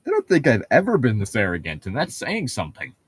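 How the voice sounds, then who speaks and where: A man's voice: medium pitch, huge pitch swings, fairly quick, slightly rough, dry recording. A man reading aloud casually and breaking off for sarcastic, sweary commentary as co-host of a comedic read-along.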